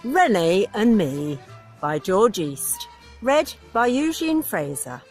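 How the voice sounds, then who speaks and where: A woman's voice: medium pitch, sing-song, slow, a little mellow, soft background music. A woman giving a scripted audiobook title and credit announcement.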